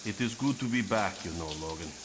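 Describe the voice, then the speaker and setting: An actor playing a big, courteous, articulate mutant hero in a motion comic, speaking formally and precisely. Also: male voice, low voice, expressive, a little fast, noisy background. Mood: pleased.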